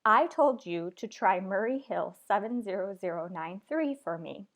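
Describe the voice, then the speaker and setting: A woman's voice: medium pitch, lively intonation, moderate pace, natural voice. An actress playing a nervous, demanding invalid wife in a suspense radio play, flustered and insistent as she talks. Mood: indignant, insistent. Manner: fretful, insistent, flustered, stammering. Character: frantic, imperious, nervous invalid wife home alone. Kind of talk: old-time suspense radio play acting.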